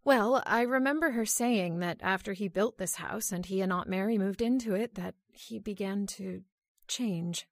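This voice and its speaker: A woman's voice: mid-range voice, moderate pace, no echo, lightly acted. Woman acting an earnest young wife, dry sound. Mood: curious. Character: inquisitive married woman, wistful heiress to a house. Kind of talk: old-time radio drama acting.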